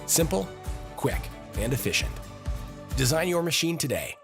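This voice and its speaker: Lively male advert voice-over with music. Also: a little high, moderate pace, gravelly. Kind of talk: promotional scripted narration.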